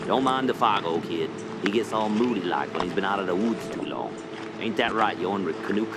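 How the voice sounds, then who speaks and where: A man's voice: sits low, a little fast. A man playing a seasoned American hunting guide in a radio drama, folksy, blunt and teasing. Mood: gruff, teasing. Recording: noisy outdoor ambience behind.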